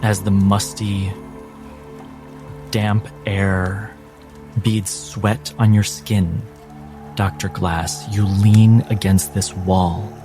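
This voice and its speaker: Slow, low-voiced man narrating over music. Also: level tone. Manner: deliberate, suspenseful, with weighty pauses. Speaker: game master. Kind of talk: dramatic second-person narration of a tabletop game. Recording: music behind the voice.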